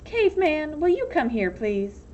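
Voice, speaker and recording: A woman reading a storybook voices a tall female camp warden, cold and commanding, with slow, menacingly polite speech. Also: sits high, very animated, a little mellow, highs cut off, slight background noise, voice pushed up. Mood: coolly polite.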